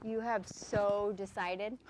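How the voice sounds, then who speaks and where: A woman's voice: mid-range voice, fairly even intonation, slow, a little mellow, slight background noise, close to an everyday voice. A woman playing a sardonic, defiant member of a traveling acting troupe in a mocking, theatrical manner. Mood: sardonic defiance. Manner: mocking, dramatic. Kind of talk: theatrical stage play acting.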